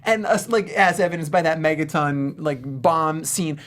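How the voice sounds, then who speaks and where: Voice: medium pitch, moderate pace. Casual movie review panel co-host.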